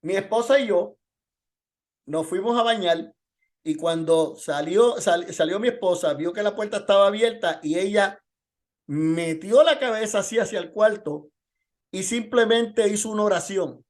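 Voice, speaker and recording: A man's voice: high voice, moderate pace. A man preaching as a pastor in an informal Bible lesson, telling testimony and posing rhetorical questions.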